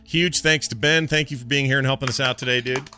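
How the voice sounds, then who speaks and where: A man's voice: mid-range voice, steady tone, fast talker, bright, forceful tone, smooth. A man hosting a podcast, chatting loosely and rambling a little as he wraps up the episode. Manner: loose and rambling, many fillers. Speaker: podcast host. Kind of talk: casual chat, wrapping up a tabletop game show.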